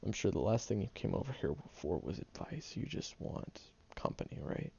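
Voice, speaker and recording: A man's voice: low voice, sing-song, normal speed, vocal fry, dark tone, breathy edge, exaggerated voice. A man voicing a prickly young man who secretly cares, speaking straight to the listener in an audio roleplay, grumbling and hesitant but tender underneath. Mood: earnest. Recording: dull lo-fi recording.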